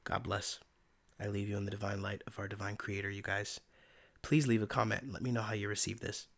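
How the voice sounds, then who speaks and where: A man giving a casual spiritual tarot reading in a soft, meandering, reflective way, his voice tired and giving out. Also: on the low side, flat delivery, brisk, creaky. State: voice giving out, tired by day's end. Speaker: tarot reader and channeler.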